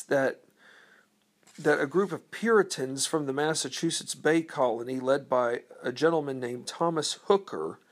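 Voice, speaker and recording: A man with a slightly high voice talks through a history lesson off the cuff. He hesitates often, filling gaps with ums and uhs. He poses questions to his listeners and then answers them. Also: creaky. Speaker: history presenter. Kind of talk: unscripted explanatory talk.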